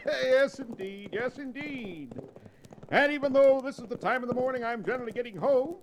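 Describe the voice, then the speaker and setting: An actor playing a colorful, talkative cowboy sidekick with folksy exclamations in an old radio Western. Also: male voice, extremely high pitch, moderate pace. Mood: gleeful, teasing. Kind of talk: 1940s radio Western acting. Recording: noisy old 1940s radio recording.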